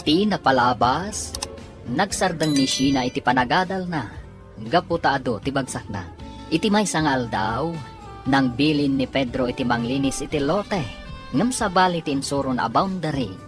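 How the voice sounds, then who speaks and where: A woman with an Ilocano accent reading dramatic scripted narration as announcer of a radio serial. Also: a bass voice. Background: music.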